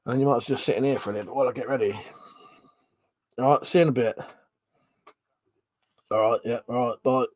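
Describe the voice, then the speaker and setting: A man's voice: medium pitch, fast, pauses often, dry recording. A man in his forties chatting casually on a live stream, reading out comments, swearing and laughing as he talks. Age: 40s. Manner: swearing, laughing, animated banter. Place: home room.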